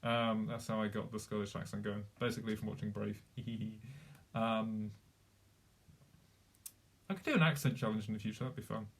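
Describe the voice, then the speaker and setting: A man's voice: on the low side, fairly even intonation, moderate pace, long pauses. A young man chatting casually on a live stream, rambling, swearing freely and laughing often. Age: young adult.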